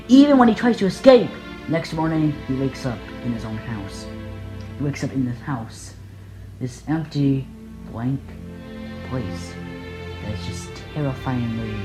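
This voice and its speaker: A filmmaker narrating a behind-the-scenes vlog in a boastful, halting, repetitive way. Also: mid-range voice, low-quality recording. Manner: boastful, self-congratulatory. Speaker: filmmaker making analog horror videos.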